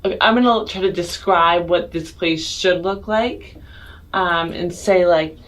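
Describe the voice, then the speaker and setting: Lowish-voiced woman playing a tabletop game. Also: rough recording. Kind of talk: casual improvised game talk.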